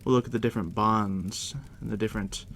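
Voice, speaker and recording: A man softly near-whispering in an ASMR roleplay as a game master, casual and unhurried with a dry, teasing manner. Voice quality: deep, hushed near-whisper. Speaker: ASMR roleplay host, playing a dungeon master guiding a new player. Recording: steady background noise, crackling fire ambience. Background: fire crackle.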